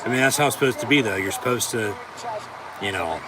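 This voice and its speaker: A man's voice: mid-range voice, heavy background noise. Rambling man calling wrestling, far from mic. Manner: rambling, profane, halting with fillers. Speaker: watch-along commentator. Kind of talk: casual play-by-play reaction.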